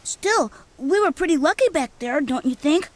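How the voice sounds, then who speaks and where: Eager high-pitched young boy adventurer. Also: moderate pace. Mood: eager, reassuring. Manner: boyish, casual, expressive. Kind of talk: animated video game voice acting.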